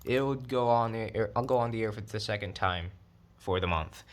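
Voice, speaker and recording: A man's voice: lowish voice, fairly quick. A man narrating an explanatory online video in a documentary style, with casual, conversational asides.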